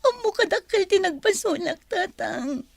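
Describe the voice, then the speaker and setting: Radio drama role: hurt, pleading young daughter actress, very high creaky voice, dry close sound. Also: female voice, very animated, moderate pace, no room sound, exaggerated voice. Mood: tearful, pleading. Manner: plaintive, emotional. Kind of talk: Ilocano radio drama acting.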